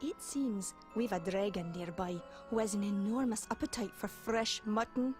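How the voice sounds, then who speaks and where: A woman's voice: mid-range voice, a little slow, a little mellow, faint music behind, lightly acted. A woman playing an earnest, kindly young shepherd leader in a video game, with solemn, formal storytelling diction. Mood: grave.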